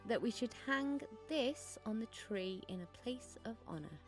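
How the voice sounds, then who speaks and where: A woman's voice: fairly high pitch, expressive, a little slow, soft background music. A woman playing a sardonic, theatrical teenage schoolgirl in an audio drama. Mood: brisk, animated. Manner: dry, sarcastic, theatrical. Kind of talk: scripted audio drama dialogue.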